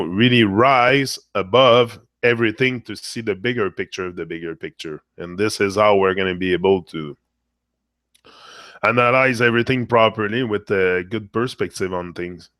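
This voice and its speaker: A man who is losing his voice, hosting a casual spiritual monologue and talking in a rambling, halting way with frequent fillers and repeated phrases. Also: on the low side, a bit bright, no room sound, studio quality. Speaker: motivational channel host.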